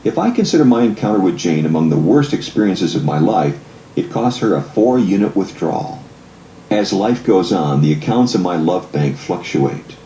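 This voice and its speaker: A man reading scripted audiobook narration in a measured, explanatory way. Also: low voice, mellow. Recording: echoey room, faint background noise.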